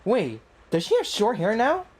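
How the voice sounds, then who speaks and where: A woman's voice: medium pitch, huge pitch swings, normal speed, darkish tone, husky. A woman livestreaming a game with casual, slangy, exclamatory reactions and commentary. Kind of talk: casual live gameplay commentary, reading dialogue choices. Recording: game music behind.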